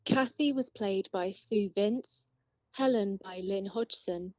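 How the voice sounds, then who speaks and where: A woman's voice: medium pitch, slow pace, darkish tone, no room sound. A woman reading a scripted cast list as an announcer. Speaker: credits announcer. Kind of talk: scripted narration. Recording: muffled, dry sound.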